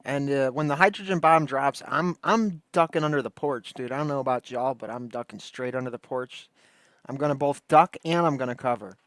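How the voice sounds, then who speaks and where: A man's voice: mid-range voice, fairly quick, fairly bright tone. A man chats casually as a livestream host. His mid-range voice is laid-back and rambles in slang. The sound is dry, with no room echo. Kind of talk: casual chat commentary.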